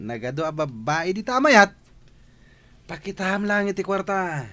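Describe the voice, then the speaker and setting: High-pitched brash, defiant son. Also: male voice, animated, unhurried, sharp tone, exaggerated voice. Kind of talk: radio drama acting.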